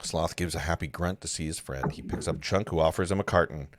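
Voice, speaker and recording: A man's voice: a bass voice, fairly quick, slight background noise. A man hosting a table read, reading stage directions aloud in an informal, amused way with casual asides. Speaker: host and stage-direction reader.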